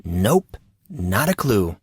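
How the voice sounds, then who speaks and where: A man playing a guarded, awkward and sarcastic teenage boy in an audio drama, answering evasively and a little haltingly. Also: on the low side, sing-song, darkish tone, husky, no echo, clean studio sound, full character voice. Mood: dry sarcasm. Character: guarded, sarcastic fourteen-year-old boy, awkward and exasperated. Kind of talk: audio drama character dialogue.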